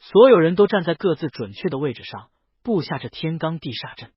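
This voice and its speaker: A man narrating a fantasy novel in an expressive, storytelling manner. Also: fairly high pitch, fairly quick, husky, no echo, lo-fi sound. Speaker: audiobook narrator.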